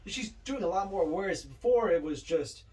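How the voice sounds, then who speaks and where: A man's voice: sits high, expressive, normal speed, a little mellow. A man co-hosting a podcast, talking casually about films. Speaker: podcast co-host. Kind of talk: casual film discussion. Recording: distant mic, faint room noise.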